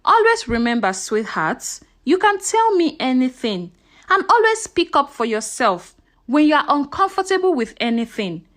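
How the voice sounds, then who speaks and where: An emotional mother in a spoken folktale, played by a woman narrator. Her voice is high and swings widely as she speaks with dramatic emphasis, fiercely protective of her child. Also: big pitch swings, moderate pace. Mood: earnest, caring. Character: heartbroken, indignant mother, protective of her young daughter. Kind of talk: folktale storytelling character dialogue.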